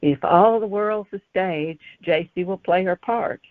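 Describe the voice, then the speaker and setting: A woman's voice: fairly low pitch, measured pace, no room sound. A woman from Kentucky giving prepared, reflective answers as a guest author, in a storytelling style. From Kentucky, USA. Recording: thin phone-like sound.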